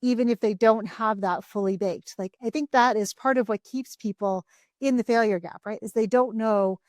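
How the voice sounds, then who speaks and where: A woman's voice: fairly high pitch, moderate pace, no room sound, clean studio sound. Thoughtful woman podcast host. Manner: thoughtful, asks long questions. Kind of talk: casual interview conversation.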